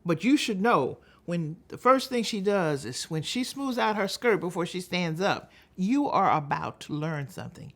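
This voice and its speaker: An older American woman from Texas giving a storytelling advocacy talk, speaking deliberately and emphatically with dramatic pauses. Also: lowish voice, animated. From Texas, United States. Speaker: public speaker.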